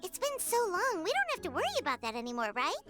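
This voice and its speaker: A woman's voice: very high-pitched, big pitch swings, fast, pushed and bright, soft background music, full character voice. A woman voicing a tiny, childlike fairy companion in a video game, chatty and frank. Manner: childlike, refers to self in third person. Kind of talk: English video game dub acting.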